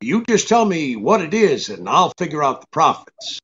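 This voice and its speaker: An actor playing a tough, wisecracking, impatient private detective in a radio drama, brusque and sardonic. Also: male voice, a little high, big pitch swings, moderate pace, a bit bright, breathy edge, dull audio, full character voice. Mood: cool. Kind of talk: hardboiled radio drama acting with narration.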